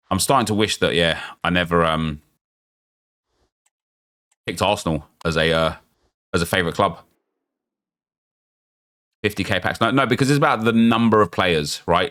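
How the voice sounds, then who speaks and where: A man, chatty and excitable, thinking aloud as he opens packs in a football game, losing his voice and getting unwell. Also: sits low. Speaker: gaming YouTuber. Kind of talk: casual pack-opening commentary.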